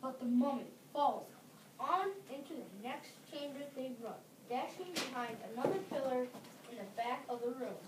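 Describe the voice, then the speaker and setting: High female voice, scripted story narration, halting read-aloud phrasing, distant mic, noisy background. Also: expressive. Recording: distant mic, echoey room, clear background noise.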